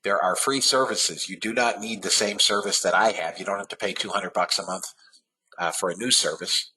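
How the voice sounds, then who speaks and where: A man's voice: deep voice, normal speed, pushed and bright, poor audio. A man hosting a live trading webinar, sounding nasal from a head cold and talking in a rambling way with lots of restarts. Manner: rambling, frequent uh fillers and restarts. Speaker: trading room host.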